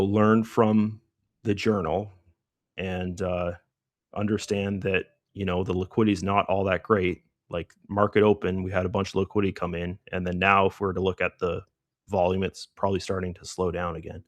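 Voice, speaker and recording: A middle-aged man hosting a live trading stream, hoarse and losing his voice, who rambles casually through market commentary with many fillers. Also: low voice, little pitch movement, normal speed, no echo, studio quality. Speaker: trading livestream host.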